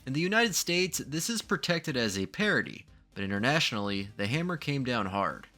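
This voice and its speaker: A man's voice: medium pitch, big pitch swings, fairly quick, pushed and bright. A man narrating a scripted video essay in a dramatic, ominous true-crime manner, posing rhetorical questions. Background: background music.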